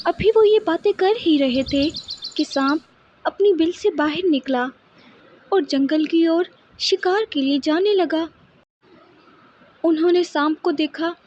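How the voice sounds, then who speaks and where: A woman's voice: high voice, a little mellow, dry recording, dull audio. A woman narrating a scripted children's story as its storyteller.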